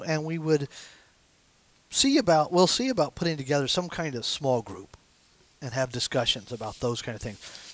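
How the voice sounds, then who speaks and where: A man's voice: fairly high pitch, dry recording. Pastor in his sixties, easy conversational sermon at a small church pulpit, dry close sound. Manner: conversational, gesturing with his hands as he preaches. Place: small church sanctuary with stained glass windows.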